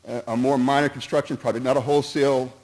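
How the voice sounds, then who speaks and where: A man's voice: mid-range voice, level tone, fast talker, darkish tone. A man speaking at a town meeting, earnest and cautious, who stumbles, restarts and jumps between points while giving his opinion. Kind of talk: public comment at a town meeting.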